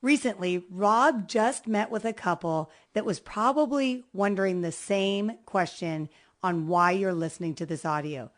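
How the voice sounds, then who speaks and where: A woman's voice: mid-range voice, a little slow. A woman relationship coach co-presenting a scripted self-help audio talk.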